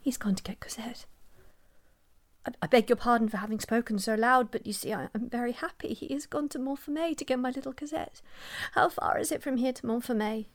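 A woman's voice: on the high side, a little fast, natural voice. An actress playing a sick young mother, whispery and breathless. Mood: feverish joy. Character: frail sick young mother, feverish and longing. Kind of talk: radio drama acting.

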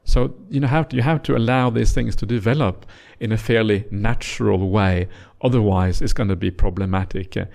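A middle-aged man from Norway, a native Norwegian speaker, giving a gentle, teasing dharma talk as a monk leading a retreat, with many uhs and yeahs. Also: on the low side, a little fast, vocal fry, few pauses.

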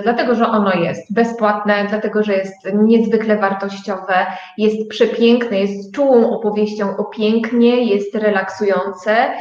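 Woman guest in a roomy, muffled recording. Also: medium pitch. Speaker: radio interview guest, business owner. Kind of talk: conversational promotional interview talk. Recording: roomy, dull muffled sound.

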